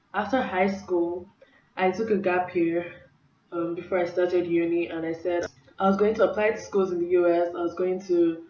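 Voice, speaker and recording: A woman's voice: on the low side, a little mellow. A young woman from Nigeria sharing a personal faith story in an earnest, casual vlog, full of "like" and "um". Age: young adult. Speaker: vlogger. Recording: roomy, distant, slightly dull sound.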